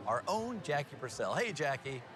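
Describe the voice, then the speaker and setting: A man's voice: mid-range voice, sing-song, normal speed, crowd noise. A man anchoring and narrating upbeat local TV news features in a folksy, playful way, fond of puns.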